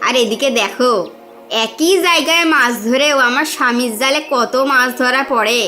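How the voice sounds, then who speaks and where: A woman's voice: high-pitched, moderate pace, bright, forceful tone, faint music behind. A woman playing a showy, well-off neighbour wife in a cartoon, boastful and slyly coaxing. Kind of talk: cartoon dialogue.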